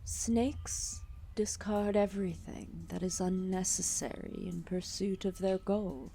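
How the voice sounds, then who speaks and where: A woman playing a calm, solemn, ancient serpent deity in an ASMR roleplay, speaking softly and formally with deliberate pauses. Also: medium pitch, slight background noise. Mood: earnest, grave. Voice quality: soft, breathy, often dropping into whispers. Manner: slow, deliberate, formal phrasing with long pauses.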